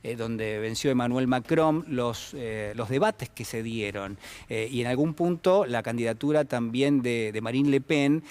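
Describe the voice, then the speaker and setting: Male political interviewer, Argentine accent, average pitch, conversational and hesitant, pausing often as he thinks aloud. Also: a little mellow, clean tone. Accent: Argentine Spanish. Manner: hesitant, halting, thinking aloud with repeated pauses. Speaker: television interviewer, host.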